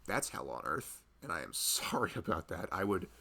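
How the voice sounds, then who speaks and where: A young man playing a loving, earnest husband chatting casually and intimately with his pregnant wife in an ASMR roleplay, rambling and halting as he goes. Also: low-pitched, sing-song, gravelly, pushed and bright, slightly rough, full character voice. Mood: thoughtful, sympathetic.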